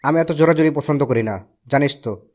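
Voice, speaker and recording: A man voicing a brisk, no-nonsense uncle in a cartoon. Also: a little high, darkish tone, natural voice. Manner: brisk, bossy. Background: music.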